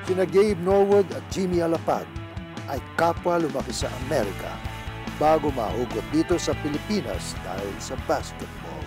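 A man narrating a sports documentary in a measured, earnest scripted read. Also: a little high, animated, over music.

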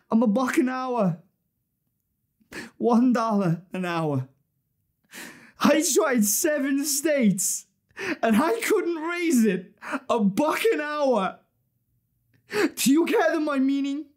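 A man's voice: high-pitched, animated, takes it slowly, pauses often, a little mellow. A man acting an anguished adult son confronting his father in an impassioned stage monologue. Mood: pleading, tearful.

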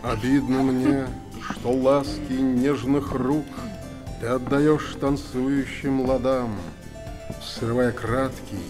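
A man's voice: mid-range voice, level tone, takes it slowly, music in the background, lightly acted. An actor playing an impassioned, theatrical stage director who instructs his cast insistently. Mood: slow, romantic recital. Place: theatre hall, indoors.

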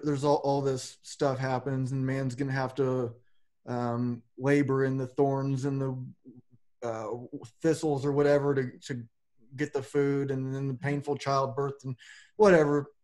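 Slow-talking man in his forties. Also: mid-range voice, darkish tone. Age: 40s. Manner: loose and rambling, with casual swearing. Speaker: podcast host. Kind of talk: casual philosophical conversation. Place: home room with a plain backdrop. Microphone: webcam on a video call.

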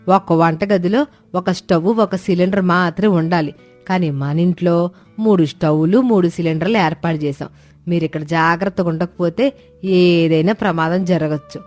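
A woman's voice: on the low side, steady tone, moderate pace, darkish tone, faint music behind, natural voice. A woman voicing a stern, long-suffering older mother-in-law in a family story, admonishing and resigned.

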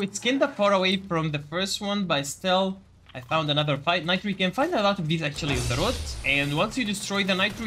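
A man with a fairly high, bright voice explains game quests in a casual walkthrough. He talks directly to his viewers, with faint noise behind him. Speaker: gaming video creator giving a quest guide. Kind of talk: casual gameplay tutorial commentary.

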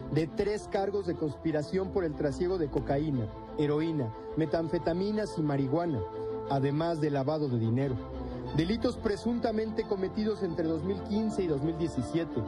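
A male reporter reads a scripted news voice-over in a steady, formal delivery. His voice sits a little high for a man. A clear music bed runs under him.

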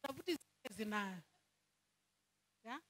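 Kenyan woman preacher, high voice, fervent prayer rhythm, code-switching sermon in church. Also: lively intonation, normal speed, fairly bright tone. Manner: switches between Swahili and English, fervent prayer cadence.

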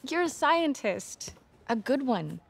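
Young American female journalist, warm and tender, higher pitch, slow natural game-drama delivery. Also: very animated, gravelly, full character voice. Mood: teasing. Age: young adult. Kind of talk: naturalistic cinematic game acting.

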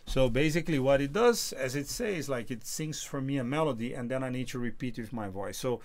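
A man whose first language is Portuguese, reviewing music software in a casual, halting way, often repeating words. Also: medium pitch, animated, no room sound. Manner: halting, repeats words, sings test notes loudly.

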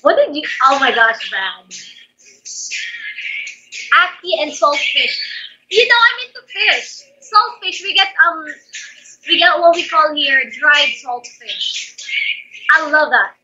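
A young Filipino woman with a high, very bright voice and a Filipino accent, chatting to viewers on her live stream. She talks close to a phone in a small room, and the sound is dull and lo-fi. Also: big pitch swings, takes it slowly, long pauses. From Philippines. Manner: chatty, thanks viewers for gifts, laughs often. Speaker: livestream host. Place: indoor bedroom with string lights. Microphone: phone on a stand, close.

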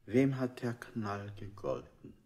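Casting: politely menacing male interrogator, deep-set voice, formal probing questions, radio drama. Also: very animated, slow pace, background noise. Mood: coolly probing. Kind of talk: avant-garde German radio play acting.

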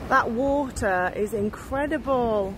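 High-pitched young woman vlogging outdoors. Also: slow. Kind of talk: casual chat while filming a travel vlog. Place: outdoors on a beach and shoreline. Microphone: phone at arm's length. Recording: windy outdoor phone recording with surf. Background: wind and waves.

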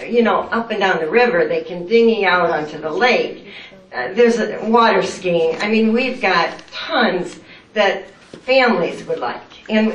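Hesitant older woman, slow, distant. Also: fairly low pitch, a little hiss or hum. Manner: hesitant, halting, self-described nervous. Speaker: resident speaking at a public meeting. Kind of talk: public comment at a town meeting. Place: meeting room with a projection screen. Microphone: far room microphone. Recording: roomy hall, distant microphone.

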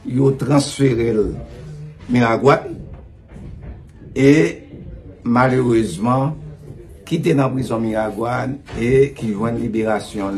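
A Haitian man in his fifties speaks as an official giving an interview over a video call. His voice is mid-pitched. He is deliberate and emphatic, repeating key points for weight. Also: a little mellow. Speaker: police official being interviewed. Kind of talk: interview answers mixing Haitian Creole and French.

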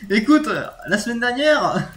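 A man's voice: extremely high pitch, normal speed, a crowd in the background. A young man, gaming channel host, bantering casually with friends on voice chat and laughing often. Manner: laughs often, joking, excitable.

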